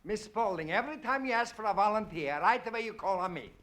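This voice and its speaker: An actor playing an earnest, put-upon immigrant pupil in a 1950s radio comedy, plaintive and literal-minded. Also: male voice, high-pitched, expressive, quick pace, a bit bright. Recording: very noisy old radio recording.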